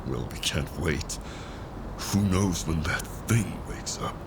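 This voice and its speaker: An actor playing a reluctant, serious task force recruit in an audio drama, asking short, probing questions in a guarded way. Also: male voice, low-pitched, slow, noisy background. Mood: tense.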